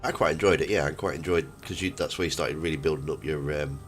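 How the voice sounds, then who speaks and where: A man with a very low, deep voice talks casually while gaming. He rambles a bit, pausing to find his words, with faint music behind him. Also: steady tone. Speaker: streamer and host.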